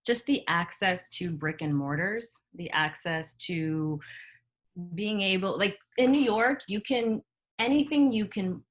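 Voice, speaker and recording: A woman's voice: mid-range voice, measured pace. A woman who grew up in Ghana, a retail co-founder, speaking thoughtfully in a panel chat with frequent restarts. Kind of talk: panel discussion on a video call.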